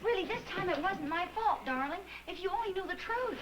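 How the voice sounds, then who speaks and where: An actress playing a flustered young wife who talks in a chatty, pleading rush. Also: female voice, extremely high pitch, expressive, fairly quick, very noisy. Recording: old TV sitcom with music behind.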